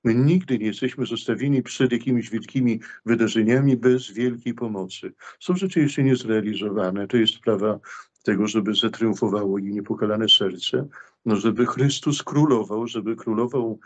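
A man's voice: fairly low pitch, moderate pace, muffled recording. A middle-aged man, a priest, speaking earnestly about faith over a video call, with frequent fillers and restarts. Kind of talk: religious talk in a video interview. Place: small room indoors. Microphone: video call webcam.

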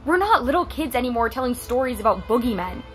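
An outspoken teenage girl in an audio drama. She has a fairly high American voice and a blunt, argumentative manner, with music in the background. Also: sing-song, fast talker. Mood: arguing. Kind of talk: naturalistic audio drama acting.

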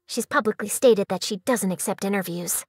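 A woman voicing an excitable, nosy young female newspaper reporter in a video game, perky, dramatic and chatty. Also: medium pitch, moderate pace, slightly rough, dry recording, studio quality. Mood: intrigued, determined. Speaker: game character voice actor. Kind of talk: animated scripted game character voice lines.

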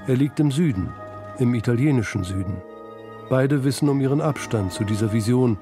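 A man's voice: medium pitch, normal speed, dark tone, faint music behind. A man reading scripted narration for a documentary feature.

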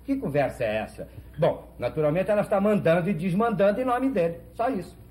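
Skeptical man, highish voice. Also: lively intonation, a little fast, darkish tone. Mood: exasperated. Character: skeptical, impatient man questioning a rumor of death. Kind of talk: 1970s telenovela acting.

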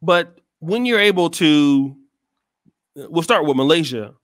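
A man hosting a video call interview, relaxed and hesitant, often restarting his questions. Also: on the high side, slow pace, no echo, clean studio sound. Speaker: host. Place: small room indoors. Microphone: headset and studio mic.

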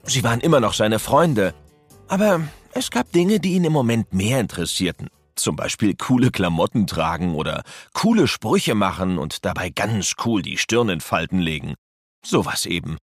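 A man's voice: lowish voice, sing-song, normal speed, no echo, soft background music. A man narrating a scripted children's audio drama as its storyteller.

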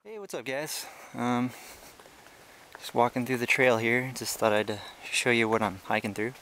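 A young man casually narrating a hiking vlog outdoors on a trail, explaining things in a relaxed, chatty way with many fillers, recorded on the handheld camera he films with. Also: medium pitch, normal speed, a little hiss or hum. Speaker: ant-keeping channel host. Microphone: handheld camera he is filming with.

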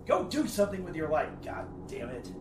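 A man plays an exasperated older father in an audio drama. His raised voice runs high and swings wildly as he scolds gruffly. He sounds roomy and a little distant. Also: wildly swooping pitch, fairly quick. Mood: exasperated anger. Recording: echoey room, off mic, faint noise.

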